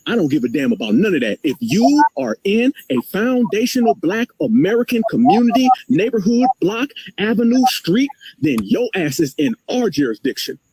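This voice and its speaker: A man giving a fiery, defiant speech on a live stream, with emphatic rises in pitch. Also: very animated, moderate pace, few pauses, mellow, breathy edge, low-quality recording. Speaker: guest speaker.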